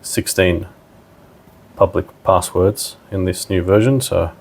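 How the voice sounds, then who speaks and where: A man's voice: sits low, steady tone, slow pace, creaky, a little mellow. A man giving a casual, plain-spoken step-by-step technical demonstration as a tutorial presenter.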